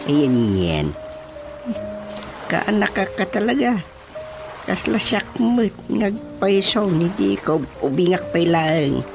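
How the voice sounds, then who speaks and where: A man's voice: high-pitched, vocal fry, full character voice. A man acting a boastful, jovial older uncle in a radio comedy drama, drawling and comically self-satisfied. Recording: loud background music.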